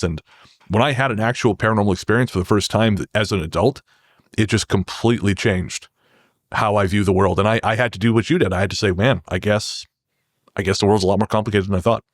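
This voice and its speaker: A man's voice: on the low side, fast, dry recording, clean studio sound. A man speaking as a podcast guest, telling anecdotes casually in a wry manner. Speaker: podcast guest, ghost show host.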